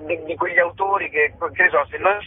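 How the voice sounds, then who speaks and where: Husky man on a tinny phone. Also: fairly high pitch, steady tone, fairly quick. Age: middle-aged. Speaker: actor interviewed as guest. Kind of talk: casual radio interview. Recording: tinny phone line with echo.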